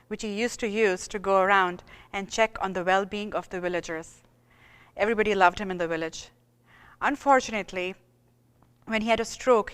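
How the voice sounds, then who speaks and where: A woman delivering a formal oration with earnest, measured phrasing. Her voice is smooth and resonant, mid-pitched. A long hall reverb trails behind her words. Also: a bit bright. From India. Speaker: radiologist giving an oration. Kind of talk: formal lecture.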